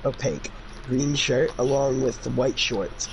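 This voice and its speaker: A man's voice: mid-range voice, measured pace, a little hiss or hum, rough recording. A man doing a casual amateur fanfiction reading, with informal, opinionated commentary. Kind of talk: casual amateur read-aloud with chatty commentary.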